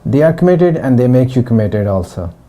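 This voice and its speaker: A middle-aged man from Pakistan giving a testimonial interview about his training, talking in a halting way with frequent restarts. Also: mid-range voice, very animated. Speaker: former student giving a testimonial.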